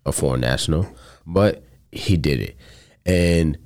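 A man's voice: extremely low pitch, a little slow, darkish tone. A man telling a story in casual chat, earnest and loose, swearing now and then.

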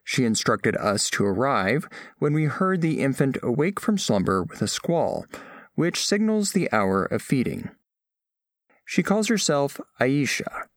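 A man plays a studious alien envoy giving a mission report in an audio drama. The diction is formal and exact, with a mid-range pitch that moves expressively. The sound is dry, with very little room. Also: sing-song, dry recording. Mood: formal, measured. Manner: formal, precise, deliberate diction. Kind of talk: scripted first-person narration, audio fiction.

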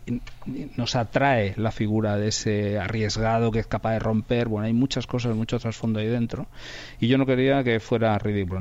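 A man's voice: mid-range voice, darkish tone, muffled recording. A man with an accent from Spain, talking reflectively in an interview as a radio drama director, often repeating words for emphasis. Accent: Spanish from Spain.

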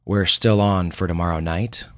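A man reads the part of a tired, skeptical male doctor in an audiobook. His voice is low and his phrasing is measured and patient, in a dry, close recording. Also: steady tone, dry recording, close to an everyday voice.

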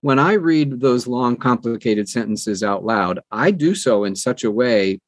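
An older man who narrates audiobooks, talking conversationally in an interview with measured, emphatic phrasing and deliberate pauses. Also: medium pitch, expressive, no echo.

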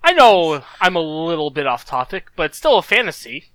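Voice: medium pitch, very animated, fairly quick, fairly bright tone, no echo. A co-host reading posts aloud in a playful, stumbling way during casual comedic chat.

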